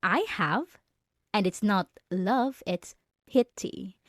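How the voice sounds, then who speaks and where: A woman's voice: mid-range voice, slow pace, a bit bright, no echo, clean studio sound. A young woman playing a jealous, condescending yandere student bully in an ASMR roleplay, speaking straight to the listener with sarcastic, taunting emphasis. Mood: jealous, scornful.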